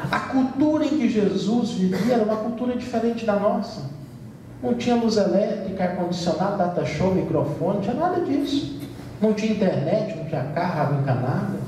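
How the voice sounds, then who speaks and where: Brazilian male lecturer, high mellow voice, measured and emphatic, recorded far off in a very roomy hall. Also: expressive. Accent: Brazilian Portuguese. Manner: measured, emphatic, with light humor. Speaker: spiritist lecturer. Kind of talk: lecture to an audience. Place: large event hall. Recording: roomy hall sound from far off with faint noise.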